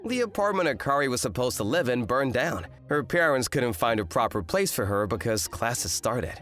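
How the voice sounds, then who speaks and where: A man's voice: medium pitch, very animated, moderate pace, fairly bright tone, faint music behind. A man playing an exasperated eighteen-year-old student in a manga rom-com dub, complaining and narrating with indignant drama. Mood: wry narrating. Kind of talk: manga dub acting and first-person narration.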